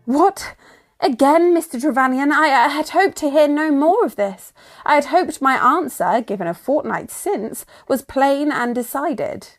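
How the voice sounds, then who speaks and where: An actress playing a gentle, sensitive young Victorian heiress in radio melodrama, formal and earnest. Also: female voice, high voice, expressive, a little slow, faint music behind. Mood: dismayed, firm. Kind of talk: old-style radio theatre melodrama acting.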